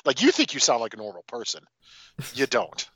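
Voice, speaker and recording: Man, podcast co-host, sharp forceful tone, wry, informal, casual chat, slightly dull recording. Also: mid-range voice, huge pitch swings, brisk, husky, dry recording.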